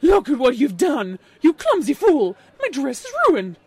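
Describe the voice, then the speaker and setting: A woman's voice: high voice, big pitch swings, a little slow, darkish tone, no room sound, exaggerated voice. A woman voicing a cruel, snobbish upper-class female unicorn who sneers with haughty contempt in a cartoon-style story. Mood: outraged.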